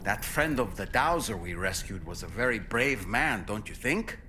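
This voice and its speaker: A man acting as a gentle, worried, compassionate character in a video game, speaking reflectively. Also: on the low side, lively intonation, moderate pace, background noise, lightly acted. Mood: troubled.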